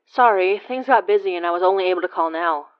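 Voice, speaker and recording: A woman in her twenties playing an awkward, self-deprecating young woman in a naturalistic audio drama, rambling haltingly with sighs. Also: on the low side, highs cut off, slight background noise, natural voice. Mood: apologetic, chatty. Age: 20s. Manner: halting, sighing, rambling confessional. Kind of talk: naturalistic audio drama acting, phone monologue.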